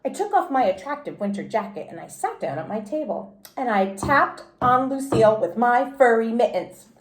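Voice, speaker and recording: A woman performs an expressive children's read-aloud in a childlike manner. Her high voice swings widely in pitch. The room gives it noticeable echo. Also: moderate pace. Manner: expressive, childlike first-person delivery. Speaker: teacher reading aloud. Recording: roomy, echoey indoor sound.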